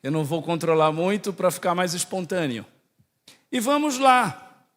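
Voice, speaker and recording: A man's voice: sits high, very animated, normal speed, a bit bright. A man from southern Brazil with a Santa Catarina accent close to Portuguese, a priest giving a conversational religious talk, teaching and often putting questions to his listeners. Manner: didactic, asks the audience questions and checks in often. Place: large echoing hall. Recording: echoey hall with long reverb.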